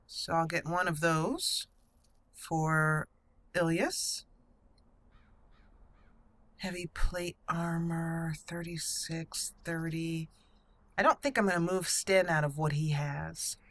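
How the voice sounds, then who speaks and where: A woman's voice: low voice, slow, long pauses, no room sound. A woman hosting a game playthrough with casual commentary, chatting and thinking aloud as she goes. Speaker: gaming channel host. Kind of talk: casual let's play commentary.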